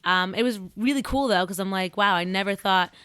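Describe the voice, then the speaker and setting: Young woman in her 20s, bright and animated, candid podcast guest, dry studio sound. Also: medium pitch, a little fast, smooth, dry recording. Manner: animated, laughs often, chatty and candid. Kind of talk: casual chat.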